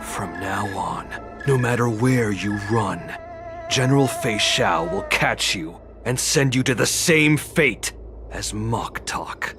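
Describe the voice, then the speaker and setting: An actor playing a stern, confident military hunter in a video game, with a measured, cold, scornful delivery. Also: male voice, lowish voice, expressive, music in the background.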